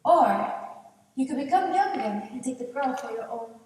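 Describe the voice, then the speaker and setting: Woman playing a high-voiced proud swamp witch, echoey stage. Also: lively intonation, normal speed, a little mellow. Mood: sly. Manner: theatrical, declamatory, with Spanish phrases. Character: proud, sly outcast swamp witch, mocking and knowing. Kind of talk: stage play acting. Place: large indoor theater stage, distant camera. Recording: echoey theater stage from far off.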